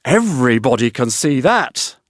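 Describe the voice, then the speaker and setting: A man's voice: mid-range voice, big pitch swings, measured pace, no echo, studio quality. A man voicing a pompous, selfish middle-aged miller who moralizes in a self-important storybook manner. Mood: pompous lecturing.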